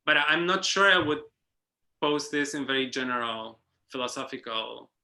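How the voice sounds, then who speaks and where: A man's voice: medium pitch, expressive, moderate pace, sharp tone. A man speaking in an academic round-table discussion, thinking aloud with frequent restarts and a questioning "no?" tag. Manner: hesitant, many restarts and tag "no?". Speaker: guest speaker. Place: online round-table call.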